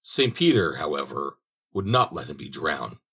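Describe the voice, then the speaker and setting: A man's voice: rumbling low, normal speed, breathy edge. A man reading a fairy tale aloud as an audiobook narrator, telling it expressively. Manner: expressive storytelling. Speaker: audiobook reader.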